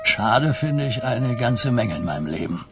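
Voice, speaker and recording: An actor playing an old, weary and blunt New York mafia boss in a German radio crime drama, husky and grumbling. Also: male voice, mid-range voice, big pitch swings, unhurried, over music. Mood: rueful musing. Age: older.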